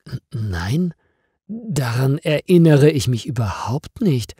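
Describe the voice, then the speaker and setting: An exasperated, puzzled office woman from a storybook, read by a man. The words come slowly and emphatically, with a mellow tone and lively swings in pitch. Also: gravelly, breathy edge, no room sound, clean studio sound, heavily acted. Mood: confused. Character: Liane, exasperated, puzzled female office worker.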